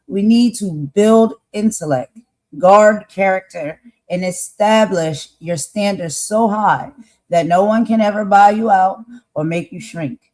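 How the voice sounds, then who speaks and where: A man co-hosting a live stream, raspy-voiced, speaking earnestly about values and calling his audience y'all. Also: sits high, unhurried, a little mellow, poor audio. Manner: preachy, earnest, addresses viewers as y'all. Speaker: co-host. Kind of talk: casual live-stream talk.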